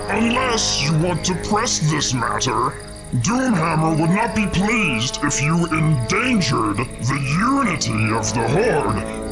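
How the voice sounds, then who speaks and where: A man's voice: fairly high pitch, exaggerated voice, rough recording. A man playing a sly, haughty ogre chieftain in a fantasy game, condescending and theatrical, calling himself "we". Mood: veiled threat. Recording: loud music over the voice.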